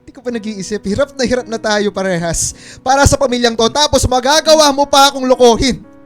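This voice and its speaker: A man's voice: extremely high pitch, quick pace. An actor playing a betrayed young husband and father in a Filipino radio melodrama, emotional and pleading. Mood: tearful, betrayed. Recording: music bed behind the voice.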